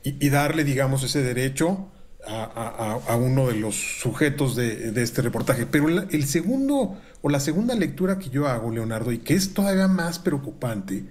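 A middle-aged Mexican man, a university researcher, giving measured, formal analysis from a room at home over a video call, with frequent filler pauses. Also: medium pitch, expressive, darkish tone. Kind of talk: analytical commentary. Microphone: video call webcam.

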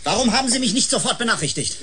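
An actor playing a suspicious, authoritative boss in a German radio drama, brusque and accusing. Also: male voice, very high-pitched, animated, very fast, over music. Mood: angry, accusing. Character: tense, suspicious male boss, irritable and authoritative.

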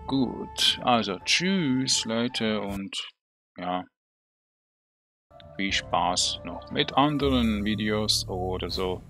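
A young man with a Swiss German accent and a fairly low voice talks loosely over his gameplay, thinking aloud with plenty of small fillers. Game music plays clearly beneath him. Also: expressive, extremely slow, pushed and bright. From Switzerland. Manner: chatty, self-talking, hesitant with fillers. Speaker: gaming video creator. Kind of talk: casual gameplay commentary.

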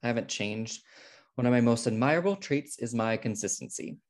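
A man acts out an uptight, square teacher in a sitcom. He is grudging and speaks at a middling pitch. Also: animated, brisk, lightly acted. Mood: defensive. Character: uptight, square, grudging male schoolteacher brother. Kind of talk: table read of a sitcom pilot. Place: home room on a video call.

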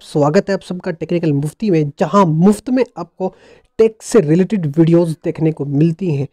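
A man's voice: high-pitched, warm tone, no room sound, clean studio sound. A man narrating a casual how-to tech tutorial in a playful, jokey, colloquial way.

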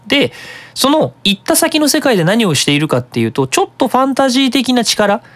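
A web radio host giving a casual, explanatory monologue in an animated manner. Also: medium pitch, brisk.

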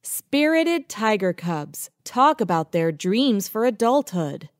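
Slow, animated woman announcing book titles. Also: mid-range voice, no room sound, clean studio sound. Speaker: narrator reading titles and blurb. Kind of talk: children's picture book read-aloud.

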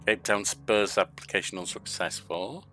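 Older man, bright voice, game commentary. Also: lowish voice, normal speed, faint music behind. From England. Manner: reads interview questions aloud and answers them himself. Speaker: gaming YouTuber. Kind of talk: casual let's-play commentary. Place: home room at a desk.

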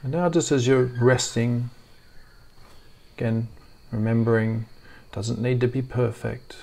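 Man guiding a soothing, even-toned meditation. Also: medium pitch, a little slow, gravelly. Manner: soft, soothing, gently reassuring. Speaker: meditation guide. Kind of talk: guided meditation.

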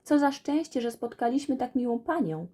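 A woman's voice: fairly high pitch, lively intonation, moderate pace, warm tone, slightly rough. A woman voicing a sweet, innocent young girl in a children's fairy tale, with a naive manner. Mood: delighted.

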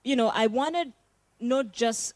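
A young woman, a guest on a talk show, speaking softly and warmly into a handheld mic with some hesitant fillers. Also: fairly high pitch, takes it slowly, no echo. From Uganda. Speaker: musician guest. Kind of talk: interview chat. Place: TV studio set.

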